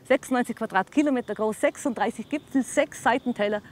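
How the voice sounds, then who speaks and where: A woman's voice: fairly high pitch, fast, slight background noise. A woman from the Austrian Alps speaking standard German with a Walser Alemannic accent, explaining things casually and instructively as a local hiking guide. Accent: Kleinwalsertal Alemannic, speaking standard German. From Kleinwalsertal, Austrian Alps. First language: Walser German dialect. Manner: instructive, often checks with her listener. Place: outdoors on a mountain trail.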